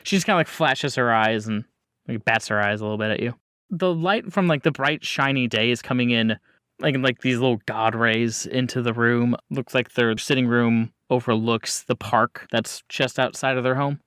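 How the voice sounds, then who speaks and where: A man's voice: medium pitch, normal speed, fairly bright tone, smooth, no room sound, studio quality. A man narrating a tabletop game as game master, descriptive and informal.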